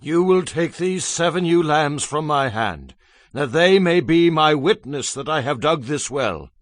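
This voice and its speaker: A man's voice: a little high, expressive, measured pace, no room sound. A man playing a solemn, devout elderly patriarch in a dramatized Bible reading. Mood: solemn resolve. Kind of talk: dramatized scripture acting.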